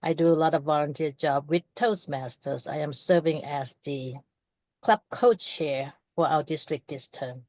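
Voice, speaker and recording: An older retired woman from Thailand with a Thai accent, a native Thai speaker, introducing herself on a panel in a halting way with restarts. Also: deep voice, a little mellow, no room sound. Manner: halting, restarts before settling.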